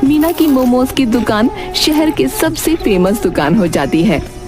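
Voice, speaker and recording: A woman tells a scripted cartoon story as narrator. Her delivery is very animated in pitch, and music plays clearly behind her. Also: a little slow.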